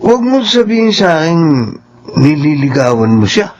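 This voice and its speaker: A man's voice: fairly high pitch, very animated, normal speed, a little mellow, highs cut off. A man playing a nosy, teasing young co-worker in a radio drama. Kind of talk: Filipino radio drama acting.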